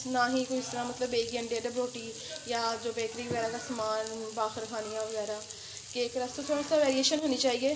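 A woman's voice: a little high, noisy background. Chatty young woman hosting a cooking vlog. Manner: chatty, explains step by step to viewers. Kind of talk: casual cooking vlog narration. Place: home kitchen.

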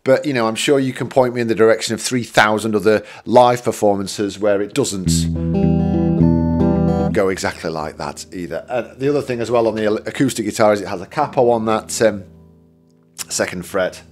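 A man's voice: fairly low pitch, moderate pace. A middle-aged man from the UK giving a casual guitar lesson in a small home studio, chatty and self-deprecating, with plenty of fillers. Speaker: guitar teacher. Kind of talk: casual spoken tutorial. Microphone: studio condenser mic in front.

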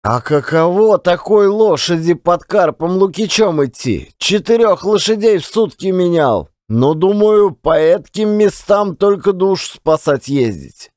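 Man playing a high-voiced, animated folksy storyteller. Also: a little slow, hardly any pauses, no echo, studio quality. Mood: lively storytelling. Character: folksy Russian working man recounting his Siberian adventures. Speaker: audiobook narrator and actor. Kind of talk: one-man dramatized audiobook reading of classic fiction.

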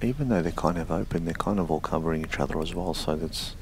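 A man commentating on a live snooker stream in a loud, chatty way, often repeating words. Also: a bass voice, fast. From Greek heritage. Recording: noisy live stream audio.

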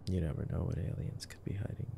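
A man giving casual, playful, wry ASMR commentary as a boyfriend watching a movie, with trailing pauses. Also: deep voice, fairly even intonation, vocal fry. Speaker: ASMR roleplay voice actor playing a boyfriend.

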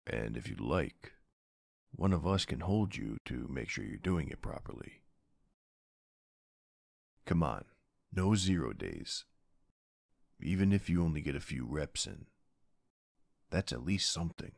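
A man's voice: a bass voice, very animated, a little slow, gravelly, long pauses, a little mellow, dry recording, clean studio sound, heavily acted. A man playing a flirty, laid-back gym bro in an ASMR roleplay, drawling and teasing in an easygoing way.

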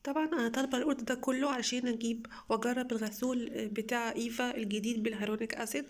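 A young woman with an Egyptian Arabic accent, slightly hoarse from a cold, chatting enthusiastically to viewers as she reviews beauty products at home. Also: on the high side, fairly even intonation, racing. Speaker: beauty vlogger. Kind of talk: casual product haul review.

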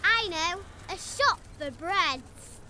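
A curious little toy spider chatters in a very high, bouncy Scottish voice. He talks slowly and playfully, full of wonder, and loud children's music plays under him. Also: animated, slight background noise, full character voice.

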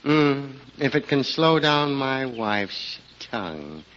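A timid, henpecked idler full of drawled wisecracks. His pitch moves around freely in classic 1940s radio style. The recording is dull, with a light layer of noise. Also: big pitch swings, takes it slowly, fairly bright tone. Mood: sly, interested. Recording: muffled, faintly noisy 1940s radio recording.

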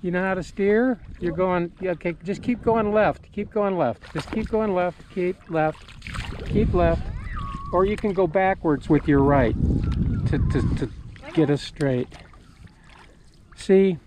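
A man's voice: high-pitched, a little slow. An older man, chatting and teaching his partner to paddle while kayaking on a lake, in a joking, instructive way. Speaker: vlogger. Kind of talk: casual outdoor vlog chat. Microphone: action camera on the boat. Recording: outdoor wind and water noise.

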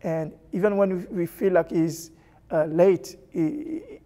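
Middle-aged guy from congo on a lapel mic in a church hall, earnest testimony, lots of uh and restarts, warm dark voice. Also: high voice, sing-song. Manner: earnest, halting, with many fillers and restarts. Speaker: church member sharing testimony. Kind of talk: personal testimony interview. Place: church hall with stage, indoors.

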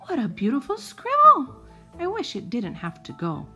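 A woman's voice: high-pitched, wildly swooping pitch, moderate pace, bright, forceful tone, husky, soft background music, heavily acted. A woman voicing eager, insistent crayon monsters in a storybook, chirpy and helpful. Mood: curious, eager.

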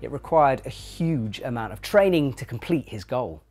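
A man hosting a climbing show and introducing films in a brisk, upbeat way. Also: medium pitch, expressive, darkish tone, soft background music. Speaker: show host. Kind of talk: scripted presenting.

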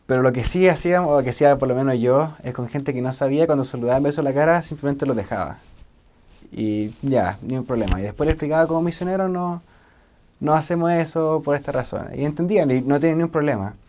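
A man in his twenties with a Chilean accent, explaining things informally to camera as a former missionary, often halting and repeating himself as he searches for words. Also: on the high side, a little mellow. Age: 20s. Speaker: former missionary giving cultural advice.

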